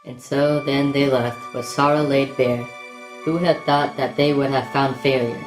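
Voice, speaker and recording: A woman performs as a solemn female storyteller with a very deep voice. The tale is told in measured rhyming verse for an audio drama, with music clearly behind it. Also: steady tone, rough recording. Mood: solemn storytelling. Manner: measured, rhythmic verse delivery. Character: solemn storyteller narrating a quest in rhyme. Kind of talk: scripted rhyming narration.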